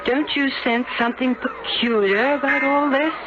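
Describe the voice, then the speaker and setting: A woman's voice: high voice, moderate pace, loud music behind the voice, lightly acted. A woman playing a polite, observant, suspicious wife in 1970s radio drama, speaking in a proper, questioning way. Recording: noisy, muffled old radio broadcast recording.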